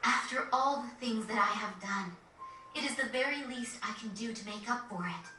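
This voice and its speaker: Earnest young noblewoman, high-voiced, echoey. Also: pushed and bright, a little hiss or hum. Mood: resolute, sorrowful. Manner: formal, measured, earnest. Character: earnest noble young woman pleading for peace. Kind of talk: dramatic video game cutscene acting. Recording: echoey, distant, music behind.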